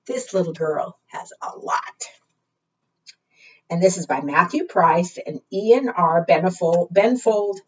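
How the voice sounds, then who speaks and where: A woman leading a preschool storytime, reading aloud and talking to young children in an expressive, deliberate, teacherly way. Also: on the low side, breathy edge. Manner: asks the young listeners frequent simple questions. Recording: muffled, distant microphone.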